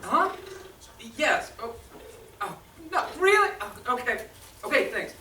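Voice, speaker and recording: A woman's voice: sits high, sing-song, slow, bright, forceful tone, heavily acted. A woman playing a flustered, hesitant radio studio performer in a 1930s-style drama staged live. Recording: echoey, noisy, distant stage pickup.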